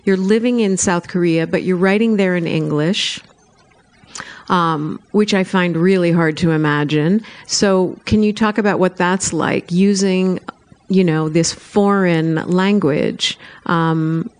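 A woman's voice: on the low side, takes it slowly. A woman interviewer framing long, engaged questions about a writer's book. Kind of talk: conversational literary interview.

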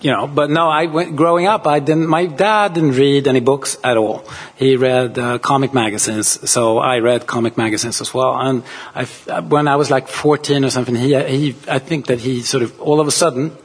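A man's voice: mid-range voice, normal speed, few pauses, breathy edge. A man, an author on a panel, telling dry, amusing anecdotes in a casual way. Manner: anecdotal, wry storyteller. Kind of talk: panel conversation. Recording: long hall reverb.

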